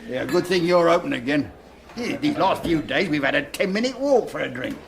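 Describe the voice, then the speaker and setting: An actor playing a blunt working-class cafe customer, impatient but friendly, in a British radio drama. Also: male voice, fairly high pitch, animated, brisk, gravelly, slight background noise. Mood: blunt, matey.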